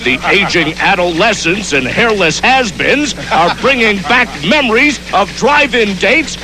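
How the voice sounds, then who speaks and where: A man's voice: sits high, animated, a little slow, background noise. A man working as a radio news reporter, reading a playful scripted feature in a theatrical manner.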